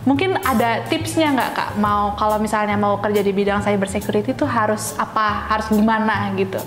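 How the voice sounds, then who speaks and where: A woman's voice: mid-range voice, steady tone, a little fast. A woman, hosting a casual interview in a chatty, informal, giggly way. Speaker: host interviewing a guest. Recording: roomy echo with faint music underneath.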